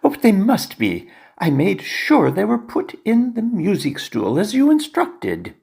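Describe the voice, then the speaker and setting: A husky, creaky-voiced Victorian opera manager, fussy and eager to oblige. His mellow tone moves in lively swings, as befits a period audio farce. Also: high-pitched, measured pace, heavily acted. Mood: flustered.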